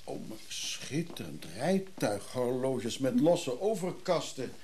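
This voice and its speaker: Radio drama role: gruff male connoisseur, dark relaxed tone, very lively intonation, blunt delivery. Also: mid-range voice, slow pace, a little hiss or hum. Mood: admiring, musing. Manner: grumpy, blunt. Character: gruff older man, former alcoholic antique clock connoisseur. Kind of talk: naturalistic radio drama acting.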